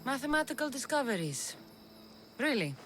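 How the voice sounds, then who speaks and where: A woman's voice: high-pitched, big pitch swings, normal speed, a bit bright, slight background noise. An actress playing a tough, sardonic young Greek mercenary woman in a video game cutscene, dry and sceptical in manner.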